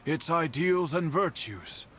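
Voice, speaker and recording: A man playing an earnest, courteous veteran swordsmith and uncle in a video game, speaking in a formal, measured, reflective way. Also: a little high, lively intonation, a bit bright, a little hiss or hum. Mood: admiring. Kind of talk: scripted video game voice acting. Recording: music behind the voice.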